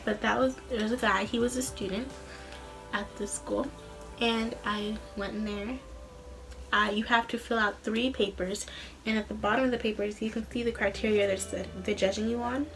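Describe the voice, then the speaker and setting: A young woman chats informally in the manner of a personal vlog. Her pitch sits in an ordinary middle range for a female voice, and she rambles casually through her account. Music plays clearly behind her. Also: steady tone, moderate pace, rough recording. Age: young adult. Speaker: vlogger, student singer.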